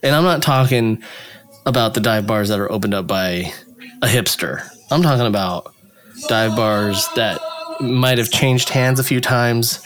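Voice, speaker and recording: Joking, animated man co-hosting. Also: medium pitch, measured pace, soft background music. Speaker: podcast co-host. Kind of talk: casual chat. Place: home in Long Beach.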